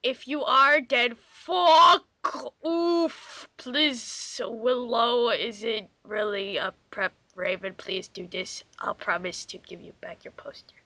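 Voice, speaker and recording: A woman bantering and reading aloud in an expressive, exclamatory, halting way. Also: a little high, no room sound. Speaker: player in a dramatic group reading game.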